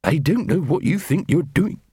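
A man as a snarling, irate watch captain, pitched up. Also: animated, moderate pace, warm tone, slightly rough, no echo, clean studio sound, full character voice. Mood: angry, contemptuous. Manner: snarling, hissing, indignant. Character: irate, bullying male watch captain, sneering and bigoted.

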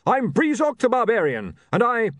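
A man voicing a brawny male barbarian who is touchy about his reputation, in a storybook reading, raising his voice loud and high. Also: huge pitch swings, moderate pace, dry recording, clean studio sound, exaggerated voice. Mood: aggrieved. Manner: loud blustering complaint.

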